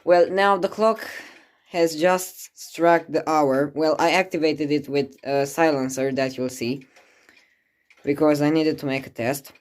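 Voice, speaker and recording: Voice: mid-range voice, fairly even intonation, unhurried. A person giving a casual hands-on demonstration as a collector, thinking aloud in a musing, hesitant way. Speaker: clock collector presenting a clock. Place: indoors beside a ticking clock.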